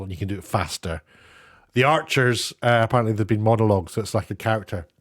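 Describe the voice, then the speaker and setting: A man giving casual, off-the-cuff commentary in a halting, rambling way, often breaking off to rephrase. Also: on the low side, no echo, studio quality. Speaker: online commentator, former broadcast worker.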